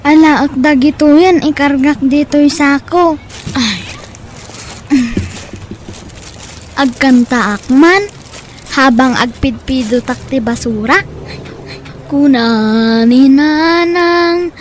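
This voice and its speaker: A woman's voice: high voice, slow pace, slight background noise. A woman playing a poor, dutiful and earnest young boy in an Ilocano audio drama. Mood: busy, cheerful. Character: poor, dutiful young boy who sings and scavenges trash.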